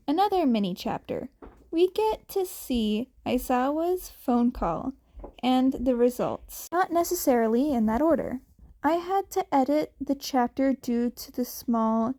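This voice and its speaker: A woman's voice: sits high, dark tone. A woman reading a fan fiction story aloud as scripted audiobook narration. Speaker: podfic narrator.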